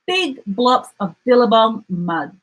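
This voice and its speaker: Woman acting a male storybook platypus, raised pitch, snappy, opinionated, brisk. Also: big pitch swings, exaggerated voice, poor audio.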